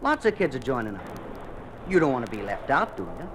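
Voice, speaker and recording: Male actor as friendly, persuasive American teen recruiter, very animated delivery, 1950s radio drama with clear background noise. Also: medium pitch, fast, natural voice. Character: slick high school boy recruiting for a communist youth club. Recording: noisy old 1950s radio recording.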